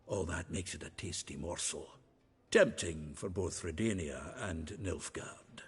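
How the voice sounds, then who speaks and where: An actor playing a gruff, roguish dwarf in a video game, blunt and wry, with a gravelly, husky voice. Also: male voice, deep voice, a little slow, long reverb tail, full character voice. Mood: blunt, explanatory.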